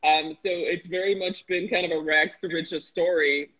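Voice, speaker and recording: A woman's voice: lowish voice, steady tone, normal speed, fairly bright tone, slightly rough, muffled recording. A woman in her thirties, born in the former Soviet Union with Russian as her first language, chatting animatedly as an interview guest over a video call, with lots of fillers and restarts. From former Soviet Union, raised in Chicago. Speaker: guest, marketing entrepreneur. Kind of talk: casual interview chat. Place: small room with a glass wall, indoors. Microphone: laptop webcam on a video call.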